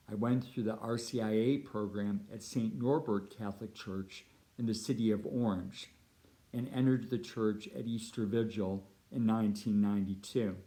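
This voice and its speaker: A middle-aged man with a Californian American accent, a priest giving a prepared appeal talk in measured, deliberate phrases. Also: on the low side, warm tone. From United States (Chicago and Southern California).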